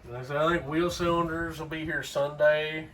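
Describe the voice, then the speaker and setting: A man's voice: on the high side, fairly even intonation, normal speed, a bit bright. A man, chatting dryly while working on a car at a salvage yard. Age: 30s. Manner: dry, joking, matter-of-fact. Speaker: car hobbyist. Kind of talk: casual chat while working. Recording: distant voice, clear background noise.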